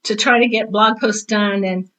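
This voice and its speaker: A woman's voice: medium pitch, fairly even intonation, normal speed, pushed and bright, rough recording. A woman in her sixties chatting casually on a podcast, animatedly telling stories and acting out quoted remarks. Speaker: retired teacher and author talking on a podcast. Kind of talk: casual conversational podcast chat.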